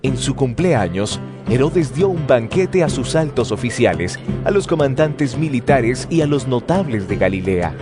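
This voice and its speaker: Male narrator, expressive, lively intonation, mid pitch, scripture storytelling with faint music underneath. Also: unhurried, few pauses.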